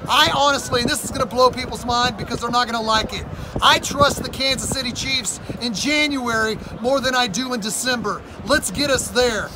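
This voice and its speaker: A man's voice: extremely high pitch, moderate pace. A man hosting a postgame fan interview outdoors in a stadium at night, with a hyped announcer-style delivery. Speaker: host. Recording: loud outdoor stadium noise.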